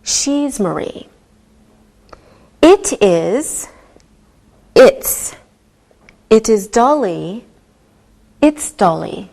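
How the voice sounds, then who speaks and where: Slow, carefully spoken woman teacher. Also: fairly high pitch, very animated, dark tone. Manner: deliberate, clearly enunciated, patient. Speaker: English teacher. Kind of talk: slow, scripted ESL lesson delivery.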